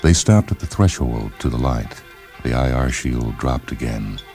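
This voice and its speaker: A man narrating a science fiction short story in a measured, literary storytelling manner. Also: rumbling low, lively intonation, warm tone. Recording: music behind the voice.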